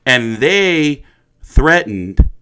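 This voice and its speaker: A man's voice: mid-range voice, big pitch swings, very slow, pushed and bright, clear, resonant tone. A man hosting a boxing livestream in a casual, blunt and profane ranting style, often repeating his phrases.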